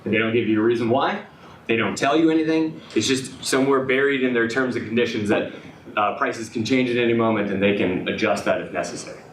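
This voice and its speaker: Slightly raspy man pitching his startup. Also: fast talker, slight background noise. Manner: hesitant, many fillers and restarts. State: slightly hoarse. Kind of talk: business pitch presentation. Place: event room with audience. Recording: echoey room, speaker far from mic.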